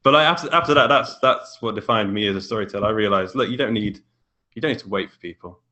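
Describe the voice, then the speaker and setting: British man, lowish forceful bright voice, casual podcast guest, a bit self-deprecating. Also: fast. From England. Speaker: podcast guest, indie author and filmmaker. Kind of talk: casual chat.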